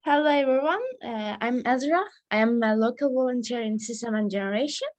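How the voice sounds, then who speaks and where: Young woman student saying hi on a call, high voice, kinda rushed. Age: 20s. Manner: friendly, a little hurried, hesitant. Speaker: student volunteer. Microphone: video call.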